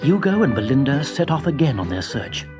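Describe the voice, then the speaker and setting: A man narrating a children's story in an expressive, dramatic storytelling style. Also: mid-range voice, brisk, over loud music.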